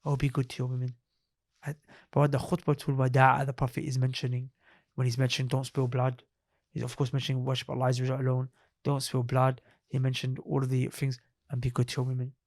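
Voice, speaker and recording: A man's voice: mid-range voice, monotone, fast talker, a little mellow, dry recording, studio quality. A man co-hosting a podcast, talking bluntly and emphatically in a casual religious chat, repeating his points. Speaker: podcast co-host.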